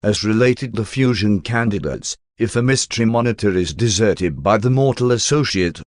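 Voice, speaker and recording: A man's voice: lowish voice, moderate pace, no echo. Man narrating, dry close studio sound. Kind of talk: audiobook reading of spiritual text.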